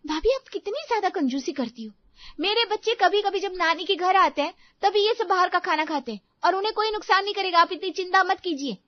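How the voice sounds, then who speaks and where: High-pitched, entitled young wife played by a woman. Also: lively intonation, brisk, dry recording, dull audio. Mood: demanding, indignant. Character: entitled, demanding married daughter, wheedling and boastful. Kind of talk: animated moral story voice acting.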